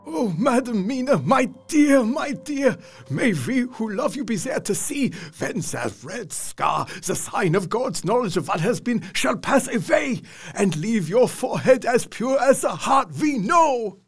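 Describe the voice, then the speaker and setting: A man's voice: very high voice, big pitch swings, measured pace, breathy edge, heavily acted. An actor playing an elderly vampire hunter professor, solemn and fatherly, speaking in a grave, formal way. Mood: tender. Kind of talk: audio drama acting.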